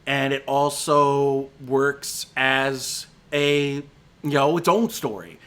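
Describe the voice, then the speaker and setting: A man on a home stream, his voice going hoarse and thin as he talks. The tone stays bright and edged despite the strain. He rambles loosely, stopping and restarting sentences with plenty of "uh" and "you know.". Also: medium pitch, slow. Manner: rambling, frequent restarts and fillers. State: voice giving out, slightly hoarse. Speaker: movie critic. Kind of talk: casual spoken movie rundown on a stream.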